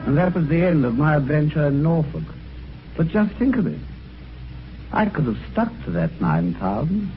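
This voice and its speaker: A man's voice: on the high side, very animated, normal speed. An actor playing a well-spoken British amateur sleuth, telling his story conversationally in a radio mystery. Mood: wry storytelling. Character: genteel British amateur sleuth recounting his eerie adventure. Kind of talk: 1950s radio mystery acting, first-person narration. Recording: dull old radio recording, music behind.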